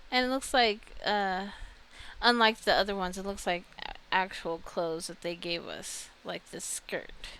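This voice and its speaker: A woman's voice: mid-range voice, vocal fry, a bit bright, slight background noise. A woman giving casual video game commentary, chatting and thinking aloud as she reacts to what she finds. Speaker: video game streamer and host.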